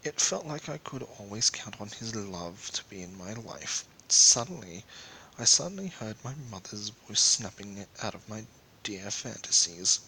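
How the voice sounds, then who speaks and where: Guy doing a dramatic fanfic reading, really bright forceful voice, bit of background hiss and rumble. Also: expressive, a little slow, rough recording. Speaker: amateur fan fiction reader. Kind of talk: dramatic reading of a first-person story.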